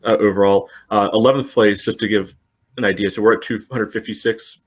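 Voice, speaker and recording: Dry, low-voiced male co-host. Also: fairly even intonation, fairly quick. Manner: dry, blunt, reads out statistics. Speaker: podcast co-host. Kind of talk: casual sports chat.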